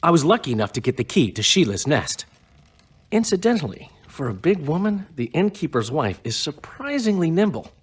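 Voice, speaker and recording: An actor playing a wry, eloquent, cynical bard in a fantasy video game, with a husky voice. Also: male voice, fairly high pitch, very animated, moderate pace, slight background noise. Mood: wry, sardonic. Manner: wry, theatrical phrasing.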